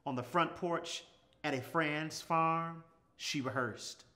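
A middle-aged man reading a children's storybook aloud with expressive, measured, dramatic phrasing and pauses. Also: fairly high pitch. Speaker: storybook reader. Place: small furnished indoor room, seated. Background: music.

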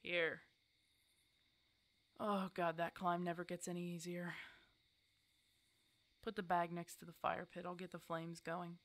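A woman's voice: lowish voice, monotone, a little slow, long pauses, fairly bright tone, a little hiss or hum. A woman playing a stubborn, protective older werewolf hermit in an ASMR roleplay drama, blunt and teasing in a casual way. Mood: gruff, teasing. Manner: teasing, blunt, casual one-sided dialogue.

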